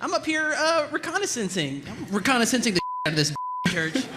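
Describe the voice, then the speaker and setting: Bright, cocky slacker soldier. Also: male voice, very high voice, very animated, a little fast, slight background noise, full character voice. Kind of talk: comedic scripted table read.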